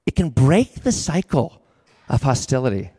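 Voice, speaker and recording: A male pastor giving a sermon, earnest and building repeated images with slow, emphatic phrases. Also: mid-range voice, big pitch swings, warm tone, slightly rough. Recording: long hall reverb.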